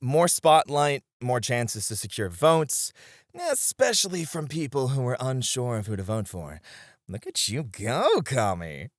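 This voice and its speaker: A man's voice: mid-range voice, very animated, measured pace, dry recording, studio quality. A man playing a friendly, easily flustered bartender and playful flirt in an ASMR roleplay, prone to stammering. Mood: warm, admiring.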